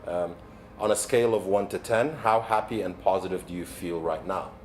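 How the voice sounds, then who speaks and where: A man's voice: deep voice, moderate pace. Arabic-native man giving a talk, noisy recording. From Egypt. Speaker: keynote speaker and wellbeing coach. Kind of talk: motivational keynote talk. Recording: background noise, ambient sound.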